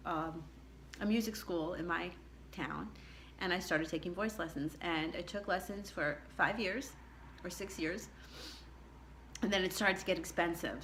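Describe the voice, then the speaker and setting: A middle-aged woman with a low contralto voice recounts her own story in a chatty, candid way, laughing often. Her tone is bright and forceful. A faint background ambience sits under her voice. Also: a little hiss or hum. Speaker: vlogger. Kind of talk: personal storytelling to camera.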